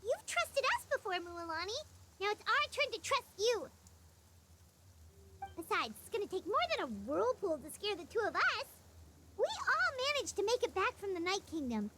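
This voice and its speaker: Squeaky, tiny childlike fairy companion. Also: female voice, very animated, moderate pace, heavy background noise. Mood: earnest, encouraging. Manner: speaks of self in third person. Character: Paimon, tiny childlike floating girl companion, excitable and earnest. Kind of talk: video game voice acting. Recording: loud music behind the voice.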